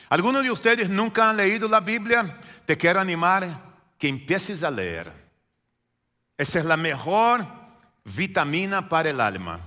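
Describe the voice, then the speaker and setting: A man from Brazil, a native Portuguese speaker, preaching a sermon as pastor in a church with a Brazilian Portuguese accent, repeating phrases and swinging between loud emphatic lines and quiet low ones. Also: big-room sound. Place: church sanctuary.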